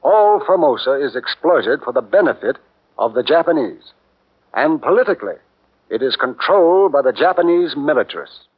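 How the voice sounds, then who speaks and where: An actor playing a male missionary in a 1940s radio drama, explaining things with a steady delivery. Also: medium pitch, moderate pace, husky. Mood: earnest. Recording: muffled 1940s radio broadcast.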